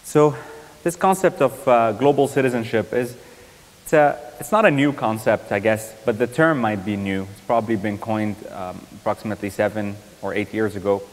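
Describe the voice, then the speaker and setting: A young man from Iraq, a surgical resident giving a university guest lecture in a thoughtful, measured way, often pausing with ums and restarting sentences. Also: fairly low pitch. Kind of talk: academic lecture. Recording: lecture hall reverb.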